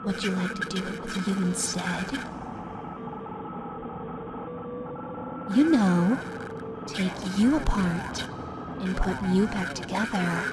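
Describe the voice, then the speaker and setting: A woman's voice: mid-range voice, close to an everyday voice. A woman voicing a playful, lonely female animatronic fox in a roleplay audio drama, chatty, coy and teasing as she talks to the listener. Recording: loud steady background noise.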